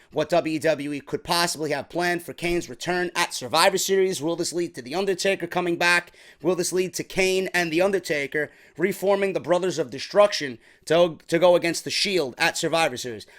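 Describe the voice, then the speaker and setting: Slightly hoarse, chatty man. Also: a little high, fairly bright tone. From New York. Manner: chatty, keeps calling viewers "man". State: slightly hoarse with a sore throat. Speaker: wrestling show reviewer. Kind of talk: casual review vlog.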